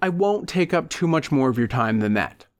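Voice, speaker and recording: A man's voice: fairly high pitch, expressive, moderate pace, close to an everyday voice. A man voicing a falsely jovial, pushy man in an audiobook, with exaggerated chummy enthusiasm. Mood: pushy, coaxing.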